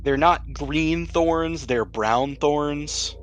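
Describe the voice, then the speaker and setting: A man's voice: on the high side, very slow, soft background music. Man casually running a tabletop game. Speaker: game master.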